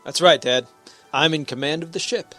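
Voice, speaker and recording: An actor playing a commanding but sentimental space-rocket captain in a radio drama, speaking with earnest emphasis. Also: male voice, on the high side, moderate pace, pushed and bright, soft background music. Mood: relaxed.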